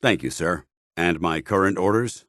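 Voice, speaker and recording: Deep-voiced, stern military paladin. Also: male voice, animated, normal speed, fairly bright tone, no echo, studio quality. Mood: formal, dutiful. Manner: formal, commanding, earnest military delivery. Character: stern, honor-bound male military paladin of a soldierly brotherhood. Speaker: voice actor. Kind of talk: video game companion dialogue.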